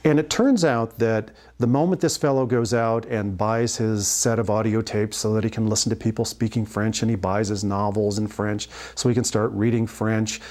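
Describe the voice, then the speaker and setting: A man giving an explanatory health lecture as a physician, speaking in measured phrases with pauses mid-sentence. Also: fairly low pitch, steady tone, darkish tone. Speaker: family physician and instructor.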